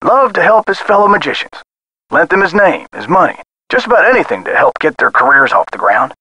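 An actor playing a friendly, folksy theater caretaker in a video game, chatty and a little hesitant. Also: male voice, on the high side, very animated, a little fast, bright, forceful tone, husky, dry recording, full character voice. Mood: helpful.

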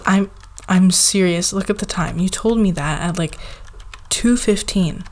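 A woman playing a needy, affectionate young girlfriend in an intimate ASMR roleplay, with a soft, halting, pleading delivery. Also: lowish voice. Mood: wistful, mock hurt.

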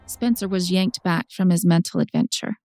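A woman's voice: fairly low pitch, normal speed, a little mellow, soft background music. A woman narrating a story for an audiobook in a scripted style.

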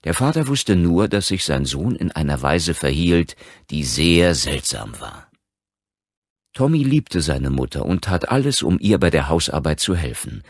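A man narrating a scripted horror audiobook in a measured, articulate storytelling manner. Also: a bass voice, very animated, no room sound. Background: background music.

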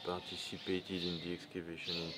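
A French man in his forties with a very low voice gives unscripted interview answers as a scientist. His English carries a French accent, and there is clear noise around him in the open air. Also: completely flat delivery, a little fast. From France. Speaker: archaeologist being interviewed. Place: open cave mouth at a dig site. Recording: noisy outdoor field recording.

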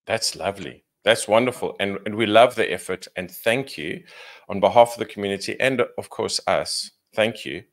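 A man hosting a podcast interview, asking measured questions in an appreciative way. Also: on the low side. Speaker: podcast host.

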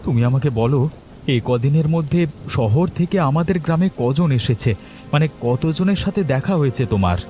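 A man's voice: a little high, animated, brisk, warm tone, faint music behind, lightly acted. A man playing an upright, worried village shrimp farmer in a Bengali cartoon, earnest and urgent in manner. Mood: suspicious, urgent.

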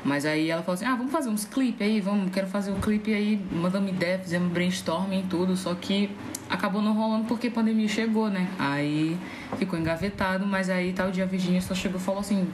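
A woman's voice: medium pitch, fast, slight background noise, low-quality recording. A woman with a northeastern Brazilian accent from Ceará, chatting casually and colloquially as a podcast guest. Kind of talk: casual chat.

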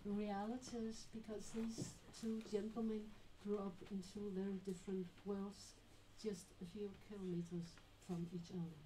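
A woman moderating a live panel talk, introducing guests and asking questions in a measured, explanatory way with many pauses. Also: mid-range voice, little pitch movement, dark tone, a little hiss or hum. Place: small dim venue with live audience. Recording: echoey room recording.

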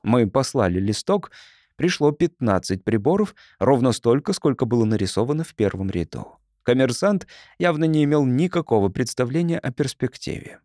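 A man's voice: medium pitch, animated, normal speed, mellow, no room sound, clean studio sound. A man reading a first-person story as an audiobook narrator in a scripted, storytelling style.

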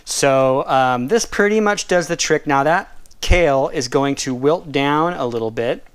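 A man giving a relaxed, casual cooking demonstration at a kitchen stove, explaining each step with the odd filler and self-correction. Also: a little high, measured pace, smooth. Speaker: cooking and nutrition instructor. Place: home kitchen at a gas stove, seen from overhead.

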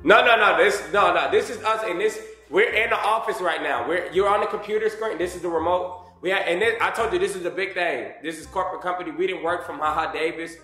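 Young man, roomy sound, halting prank caller. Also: high-pitched, pushed and bright, soft background music. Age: young adult. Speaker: host making prank phone calls. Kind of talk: casual prank call posing as a booking agent. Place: wood-panelled room indoors.

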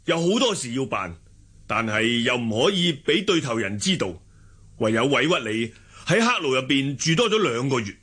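An actor playing a shrewd, loyal sworn elder brother in a martial-arts radio drama, hearty and forthright, with a Hong Kong Cantonese accent. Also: male voice, mid-range voice, bright, forceful tone, slightly rough, full character voice. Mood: hearty, apologetic.

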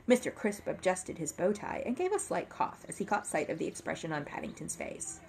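A woman's voice: lowish voice, very animated, fairly quick, darkish tone, a little hiss or hum. A woman in her thirties reading a children's book aloud expressively, as a narrator at home. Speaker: story reader. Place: dim room at home.

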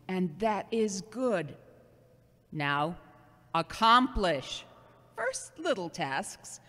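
Woman acting a slow, preachy elderly mother, echoing. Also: on the low side, fairly bright tone, exaggerated voice. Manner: earnest, sermonizing, halting delivery. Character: loving, preachy seventy-year-old mother, gently scolding. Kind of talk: amateur audio drama acting. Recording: long hollow reverb.

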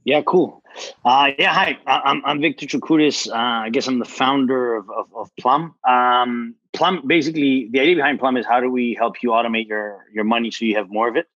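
A man's voice: mid-range voice, brisk, a bit bright, dry recording, highs cut off. A man in his thirties, a startup founder speaking on a video call, casual, repeating words and saying "like". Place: home kitchen indoors. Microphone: earbuds on a video call.

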